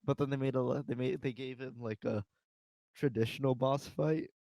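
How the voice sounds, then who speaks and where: Male podcast host, mid-pitched, slangy and profane, chatting casually in a dry recording. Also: steady tone, normal speed, mellow, no room sound. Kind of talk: casual panel chat about games and films.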